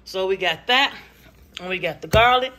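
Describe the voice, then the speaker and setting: A woman's voice: mid-range voice, animated, normal speed, background noise. Chatty woman showing off groceries. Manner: chatty, addresses viewers as "you guys" and "y'all". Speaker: vlogger. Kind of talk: casual grocery haul chat. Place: home kitchen, indoors. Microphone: phone camera held in hand.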